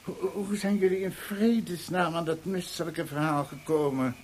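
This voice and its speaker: An actor in a radio play voicing a scornful, moralising, nosy theatre official, disdainful and knowing. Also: male voice, fairly high pitch, steady tone, moderate pace, husky. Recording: noisy archived radio play recording.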